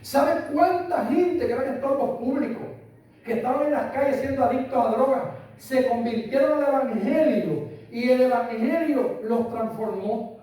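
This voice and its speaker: Older Puerto Rican pastor, high mellow voice, emphatic, echoey hall mic from far off. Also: male voice, moderate pace. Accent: Puerto Rican Spanish. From Puerto Rico. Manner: emphatic, repeats phrases, asks listeners "¿entiendes?". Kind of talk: sermon. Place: church hall. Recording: echoey hall, voice from far off, faint room noise.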